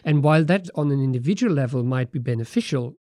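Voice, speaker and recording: A man's voice: mid-range voice, expressive, quick pace, mellow, no room sound, studio quality. A man co-hosting a podcast, discussing in a casual way and asking rhetorical questions. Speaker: podcast co-host.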